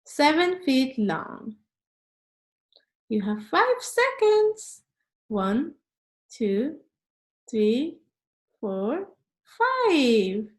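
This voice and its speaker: A woman reading aloud and quizzing young children in a deliberate, instructional way, addressing them directly. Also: sits high, big pitch swings. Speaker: children's read-aloud host and quiz teacher. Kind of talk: storybook read-aloud and quiz for children.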